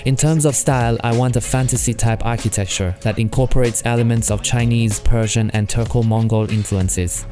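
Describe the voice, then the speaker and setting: A man with a French accent, a solo game developer narrating his monthly progress in a calm, earnest, scripted way. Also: mid-range voice, normal speed, few pauses, over music. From France.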